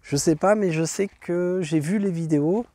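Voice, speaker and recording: A man's voice: sits high, normal speed, mellow, no room sound. A man hosting a travel vlog, stuffed up from a cold, rambling with many fillers and restarts as he tours a site outdoors. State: congested from a cold. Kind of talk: walking tour commentary.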